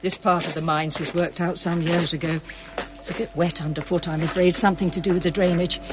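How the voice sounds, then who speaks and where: An actress playing a brisk, guarded gallery manager in a radio drama, clipped and formal. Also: female voice, fairly low pitch, steady tone, background noise, natural voice. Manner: clipped, formal and faintly defensive.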